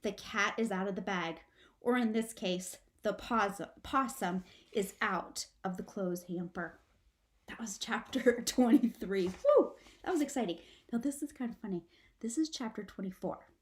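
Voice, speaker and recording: A woman reading a children's book aloud like a teacher, with dramatic, expressive shifts of emphasis. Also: medium pitch, a little slow. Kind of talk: expressive storybook read-aloud.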